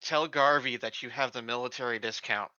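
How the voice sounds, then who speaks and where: A male game player with a sharp, very bright voice. He chats casually and stammers over repeated opening words. His audio is dry and slightly muffled. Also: mid-range voice, fairly even intonation, fairly quick, clear, resonant tone, no room sound.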